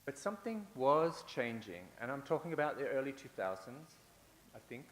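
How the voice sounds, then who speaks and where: A man's voice: mid-range voice, very animated, normal speed. A man giving a conference talk, building his points with repeated phrases and the odd filler or restart. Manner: repetitive, rhetorical, with fillers and restarts. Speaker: conference speaker. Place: large conference hall. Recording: echoey hall with faint hiss.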